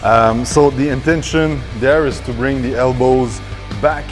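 A man's voice: mid-range voice, measured pace, soft background music. A man in his thirties, a fitness coach, explaining a workout step by step in a large indoor gym, with frequent fillers and restarts.

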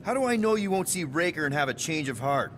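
A man's voice: on the high side, very animated, fast talker, a bit bright, a little hiss or hum. An actor playing a casual, joking male space captain.

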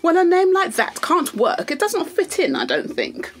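A woman's voice: very high voice, wildly swooping pitch, moderate pace, heavily acted. A woman playing a keen, politely doubtful, well-spoken lady in a radio soap, with proper diction. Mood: doubtful, curious.

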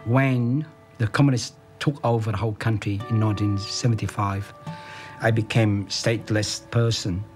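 A middle-aged man from Vietnam recalling his escape in a documentary interview, speaking in short, halting sentences. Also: fairly low pitch, steady tone, soft background music. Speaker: interviewee, refugee. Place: office room, indoors.

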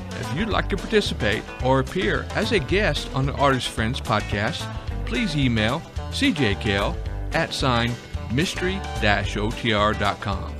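A man reading scripted podcast credits as an announcer, in a measured, careful manner. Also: medium pitch. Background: guitar music.

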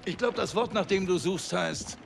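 A sarcastic veteran engineer from a video game, with a high male voice full of heavy gravel. His delivery is cocky and animated, bouncing through the pitches, with music behind him. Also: normal speed. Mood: smug, sarcastic. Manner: smug, sarcastic.

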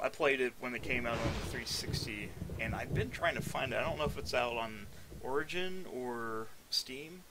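A man's voice: mid-range voice, background noise. A young man giving casual let's play commentary while hosting from a small room. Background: game music and sound.

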